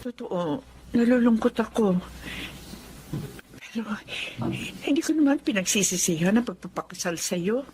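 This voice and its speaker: A woman's voice: mid-range voice, animated, normal speed, long pauses, close to an everyday voice. A woman playing a compassionate, childless middle-aged wife, tender and wistful, in a Filipino radio drama. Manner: soft-hearted, wistful. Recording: faint background noise.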